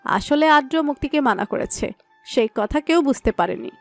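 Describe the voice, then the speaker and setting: A woman's voice: a little high, lively intonation, brisk, vocal fry. A woman narrating a romantic audio story from a script. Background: background music.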